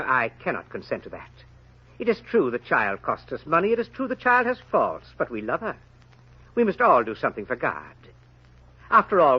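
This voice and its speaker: Muffled vintage radio drama sound, with a greedy, scheming innkeeper. The voice is husky and swoops widely in pitch. He haggles with oily, false piety. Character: sly, greedy, wheedling male innkeeper. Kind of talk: old-time radio drama acting.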